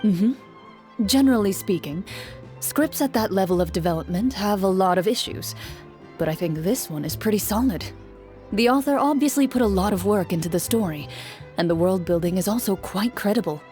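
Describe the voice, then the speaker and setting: A woman's voice: mid-range voice, lively intonation, a little mellow, natural voice. Woman as a composed, serious young game master. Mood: matter-of-fact. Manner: measured, formal, matter-of-fact. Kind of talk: video game voice acting. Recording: music bed behind the voice.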